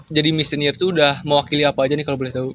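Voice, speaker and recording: A man's voice: a little high, little pitch movement, a bit bright. A man hosting a casual interview podcast, encouraging his guest and humming along as she talks. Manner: quick, encouraging, lots of backchannel hums. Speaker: podcast host.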